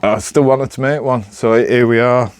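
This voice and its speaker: A man's voice: medium pitch, steady tone, normal speed, clear, resonant tone, no room sound. A man with a Northern English accent chatting casually to camera, rambling in a hesitant, self-deprecating way. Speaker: amateur vlogger.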